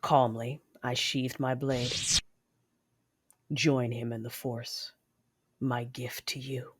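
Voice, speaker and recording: A woman's voice: very deep voice, flat delivery, slow, background noise. A woman playing a cold, vengeful female Sith lord in an audio drama, controlled and menacing as she narrates her deeds. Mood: cold menace. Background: music.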